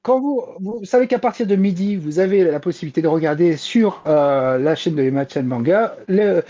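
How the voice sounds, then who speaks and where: High-voiced hesitant man on dull call. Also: expressive, warm tone. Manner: hesitant, halting delivery. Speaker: manga columnist on an online show. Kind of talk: casual presenting.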